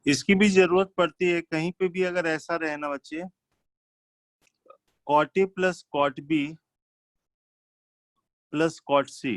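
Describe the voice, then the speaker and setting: A man's voice: on the high side, fairly even intonation, slow, pauses often, no echo. A man teaching maths live, working through problems step by step in an instructional way and mixing in English technical terms. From India.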